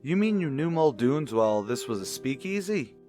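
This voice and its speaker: An American actor playing an easygoing, skeptical husband in an old radio mystery, with a wry, bantering way of talking. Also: male voice, medium pitch, sing-song, normal speed, a little mellow, soft background music, close to an everyday voice. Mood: teasing. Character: teasing, easygoing, skeptical husband of an amateur sleuth. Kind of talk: mid-century radio drama acting.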